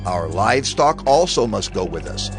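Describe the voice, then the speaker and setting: An actor playing a firm, resolute Hebrew leader in an audio Bible drama, speaking in declarations. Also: male voice, on the low side, very animated, moderate pace, soft background music.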